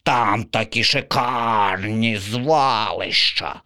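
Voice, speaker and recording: A man's voice: on the low side, bright, forceful tone, dry recording, clean studio sound, voice pushed up, full character voice. A man voicing a restless, dramatic female crow who draws out her words and pouts. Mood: rapturous. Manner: drawn-out, grimacing, huffy.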